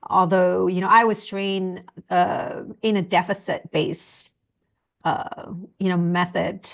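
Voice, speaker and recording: A woman from Indonesia, speaking earnestly as a podcast guest, often pausing and restarting her sentences. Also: fairly low pitch, flat delivery, creaky. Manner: earnest, halting, with frequent fillers and restarts. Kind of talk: conversational interview.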